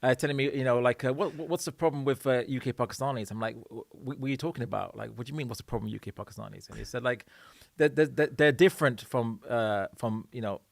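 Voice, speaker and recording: A man's voice: mid-range voice, quick pace, creaky, few pauses, no room sound. A man hosting a conversational podcast interview, speaking hesitantly with many fillers and restarts. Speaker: podcast host.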